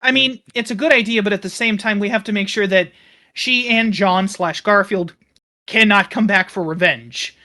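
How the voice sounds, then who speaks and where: A man in casual tabletop game talk, explaining things in a deliberate, conciliatory way. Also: high-pitched, bright, forceful tone.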